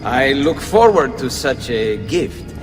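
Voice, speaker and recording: An eager, grasping henchman in a historical video game. His mid-pitched voice varies widely, with clear music behind it. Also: very animated, slow pace. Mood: eager, sly. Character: captain's male accomplice, eager for reward.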